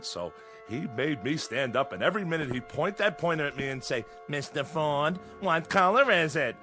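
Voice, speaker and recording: A man's voice: a little high, normal speed, lightly acted. An actor playing a boyish, nostalgic, restless married man in his late thirties, wistful and defensive, in a mid-century television drama. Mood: nostalgic, excited. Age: late 30s. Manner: excitable, wistful, defensive when nagged. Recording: vintage TV soundtrack with music.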